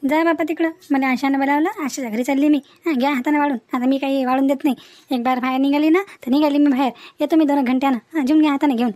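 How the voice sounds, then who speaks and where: A woman playing a talkative, sharp-tongued village housewife in a comic cartoon, with a rural Varhadi accent from Maharashtra and an emphatic, gossipy manner. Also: high voice, fairly even intonation, fast, no room sound, close to an everyday voice. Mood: scolding.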